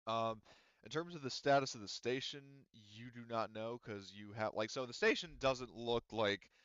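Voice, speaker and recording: A man's voice: medium pitch, moderate pace, a bit bright, clear, resonant tone, no echo, lo-fi sound. A man acting as game master in a casual online tabletop game, rambling with lots of filler words. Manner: rambling, lots of ums and likes. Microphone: headset or desk mic on voice chat.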